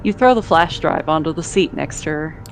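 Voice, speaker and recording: A woman's voice: sits low, expressive, normal speed, slight background noise. A woman reading scripted second-person narration as the narrator of an amateur story dub.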